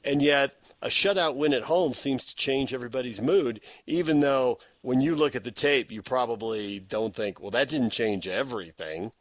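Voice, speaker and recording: A male sports radio host in conversational interview mode. His voice sits at a middle pitch and is recorded dry and close, with no room sound. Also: normal speed, hardly any pauses, no room sound.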